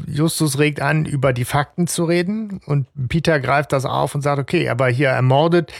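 Gravelly man recapping a plot. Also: fairly high pitch, dry recording, clean studio sound. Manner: recaps the plot in quick bursts. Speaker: podcast co-host. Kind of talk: casual fan podcast discussion.

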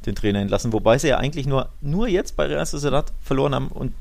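A man with an average-pitched voice co-hosts a football podcast. He discusses things casually and explains his take in a measured way. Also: animated, gravelly, a little mellow.

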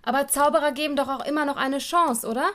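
A woman's voice: sits high, fast, a bit bright. A woman playing a bold, curious young princess in a fairytale radio play, with a breezy, matter-of-fact manner. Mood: unimpressed.

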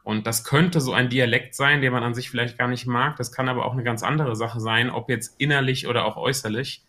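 A man's voice: medium pitch, steady tone, brisk, sharp tone. A man with a Hessian accent, a podcast guest talking about marketing in a thoughtful way with many filler sounds. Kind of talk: casual podcast interview.

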